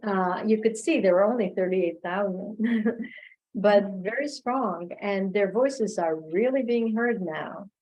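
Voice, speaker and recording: A woman giving thoughtful, reflective answers as a guest speaker in an online discussion, pausing as she finds her words. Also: medium pitch, a little mellow, muffled recording. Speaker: guest speaker, filmmaker and oral history researcher. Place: remote online video call.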